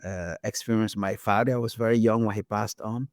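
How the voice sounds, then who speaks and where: A man from Liberia, an author being interviewed, speaking thoughtfully with frequent pauses and fillers. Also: fairly low pitch, steady tone, no room sound, studio quality. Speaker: author guest. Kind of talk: reflective interview talk.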